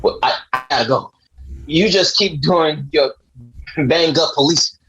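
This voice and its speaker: A man's voice: on the high side, unhurried, muffled recording, noisy background. A man playing a blunt, exasperated younger nephew in an acted script reading, with a dry manner. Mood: curt. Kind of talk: acted table read.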